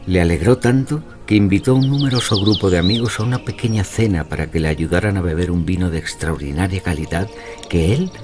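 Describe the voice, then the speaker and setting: A man narrating a dramatized mystery story in scripted, measured literary style. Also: low-pitched, music in the background.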